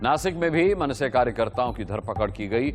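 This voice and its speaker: A man's voice: medium pitch, lively intonation, a little fast. A man reading scripted news narration as an anchor. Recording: music bed behind the voice.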